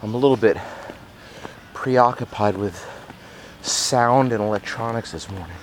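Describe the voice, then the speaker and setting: A man talks slowly and carefully, picking each word in measured phrases. He holds a small handheld recorder outdoors, and clear street sounds come through behind him. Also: on the low side, slight background noise. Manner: slow, deliberate, wordy phrasing. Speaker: running podcast host. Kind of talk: casual monologue. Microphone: handheld digital voice recorder.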